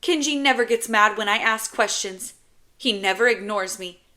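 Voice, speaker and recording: A woman's voice: high voice, animated, a little slow, sharp tone, pitched above the performer's own voice, heavily acted. A woman voicing a stubborn, emotional ten-year-old boy in an audiobook. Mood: frustrated, hurt. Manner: protesting, pestering, emotional.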